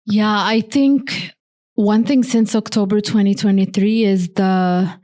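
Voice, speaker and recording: A young woman who grew up in Morocco speaks as a podcast guest. She talks earnestly and loosely, leaning on "like" and "um" between thoughts. Her voice sits at a middle pitch. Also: steady tone, takes it slowly, dark tone. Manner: heavy use of "like" fillers, earnest. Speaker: guest, software engineer. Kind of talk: casual conversational interview.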